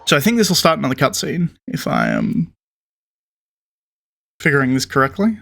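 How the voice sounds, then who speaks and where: A man's voice: fairly high pitch, moderate pace, gravelly. Man casually commenting on a game. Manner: relaxed, thinking aloud while playing. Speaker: gaming channel host. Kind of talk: casual let's play commentary.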